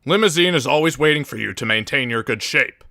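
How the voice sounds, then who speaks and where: A man's voice: medium pitch, lively intonation, brisk, bright, forceful tone, no echo, studio quality. A man voicing a brash company boss in a game parody, declaiming announcements in stilted phrases. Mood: declamatory. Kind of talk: parody game voice lines, read from garbled machine translation.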